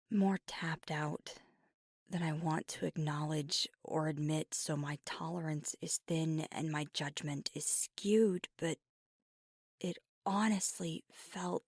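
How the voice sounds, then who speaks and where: Woman acting a breathy, hushed werewolf girlfriend. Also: low voice, dry recording, studio quality, exaggerated voice. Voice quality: breathy, often near a whisper. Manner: halting, hushed, confessional, with pauses. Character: fiery werewolf girlfriend, weary, guarded, confiding tenderly. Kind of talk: intimate ASMR audio roleplay. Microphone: close microphone, dry.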